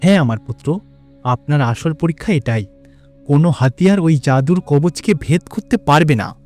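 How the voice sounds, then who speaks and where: A man plays a stately father god in a devotional cartoon. His voice is mellow and a little high for a man, with a measured, solemn way of instructing his son. Music plays softly behind him. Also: lively intonation, natural voice. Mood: solemn, instructive.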